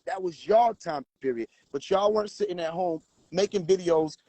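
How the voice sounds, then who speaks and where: A man hosting a livestream, talking forcefully and preaching directly at his viewers. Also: high-pitched, moderate pace, dry recording. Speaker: livestream host. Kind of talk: casual livestream talk.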